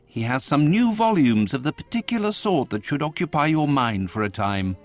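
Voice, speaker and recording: An actor playing a genial, busy Victorian physician in a video game, chatty and formal in an old-fashioned way. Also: male voice, on the high side, lively intonation, normal speed, soft background music, natural voice. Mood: reassuring. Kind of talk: video game cutscene acting.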